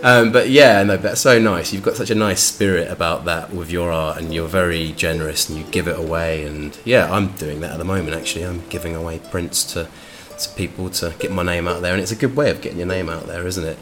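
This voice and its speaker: A man's voice: low voice, fairly even intonation, brisk, hardly any pauses, fairly bright tone, soft background music. A man hosting a podcast interview, chatty and enthusiastic, with lots of fillers and restarts. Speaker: podcast host. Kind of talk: casual interview chat.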